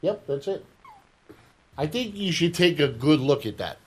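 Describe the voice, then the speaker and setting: A man's voice: fairly high pitch, animated, unhurried, slightly rough. A man, a resident making a brief public comment at a planning board meeting. He speaks in a matter-of-fact way.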